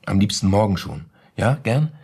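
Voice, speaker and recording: Timid suspicious husband, lowish and very raspy voice, halting, awkward, secretive, embarrassed, radio play. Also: male voice, very animated, darkish tone, exaggerated voice. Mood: suspicious, defensive. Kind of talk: German radio play acting.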